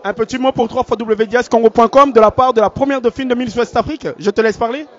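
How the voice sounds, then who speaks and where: A man's voice: extremely high pitch, steady tone, fast, some chatter behind. A man interviewing guests at a beauty pageant gala for a web channel, upbeat and formal. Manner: upbeat, formal, repeats announcements. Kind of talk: live red-carpet interviews at a gala. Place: crowded gala event hall. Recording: muffled lo-fi event audio.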